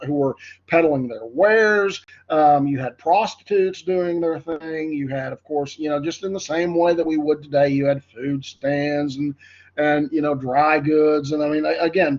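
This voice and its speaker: Halting man lecturing in lo-fi audio. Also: a little high, darkish tone. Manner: halting, many fillers and self-corrections. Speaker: history lecturer. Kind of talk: unscripted classroom lecture.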